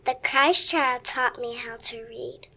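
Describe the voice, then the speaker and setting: A performer playing a pious, earnest little girl of about seven in an audio drama, speaking in a deliberate, childlike way. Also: mid-range voice, vocal fry, bright, forceful tone, heavily acted, rough recording.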